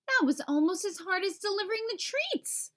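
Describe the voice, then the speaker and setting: A woman's voice: extremely high pitch, expressive, moderate pace, a bit bright, voice pushed up. A woman voicing a grumpy, greedy little male Easter bunny in a storybook, complaining and self-pitying.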